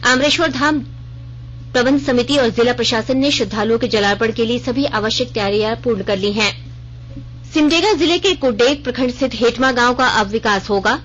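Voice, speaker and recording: High-voiced woman reading radio news, slightly dull sound. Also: a little hiss or hum. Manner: formal, measured newsreader delivery. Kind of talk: scripted regional news bulletin reading. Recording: dull, band-limited radio recording.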